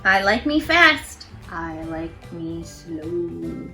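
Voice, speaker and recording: A woman hosting storytime, reading picture books aloud to children in an expressive, encouraging way. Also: fairly low pitch, very slow, roomy sound, recorded from a distance, soft background music. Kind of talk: animated read-aloud to children.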